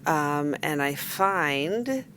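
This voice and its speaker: Female tabletop player, unhurried and casual, deep voice with animated intonation. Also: slight background noise. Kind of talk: casual chat.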